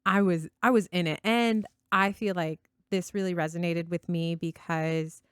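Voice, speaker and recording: A woman's voice: fairly low pitch, fairly even intonation, moderate pace, clean tone, no room sound, clean studio sound. A woman co-hosting a casual book podcast, speaking in an enthusiastic, effusive way. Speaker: podcast co-host.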